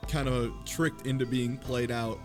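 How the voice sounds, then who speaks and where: A man's voice: medium pitch, fairly even intonation, measured pace, loud music behind the voice. A man chatting casually and speculatively in a group, swearing freely and acting out imagined lines as he goes. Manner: sweary, acts out imagined quotes. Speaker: podcast panelist discussing a visual novel.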